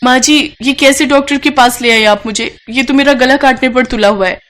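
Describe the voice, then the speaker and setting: Woman voicing a young daughter-in-law, very high and shrill, frantic, squawky, cartoon story. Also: normal speed, fairly bright tone. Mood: alarmed. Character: panicked young daughter-in-law cawing like a crow. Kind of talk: cartoon moral story acting.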